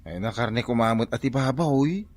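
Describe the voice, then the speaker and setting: A man's voice: medium pitch, expressive, brisk, darkish tone, no room sound, lightly acted. A man with an Ilocano accent playing a whiny, henpecked working husband in a comedy radio drama, exclaiming plaintively. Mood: whiny complaint. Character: whiny henpecked husband, construction worker, comic complainer.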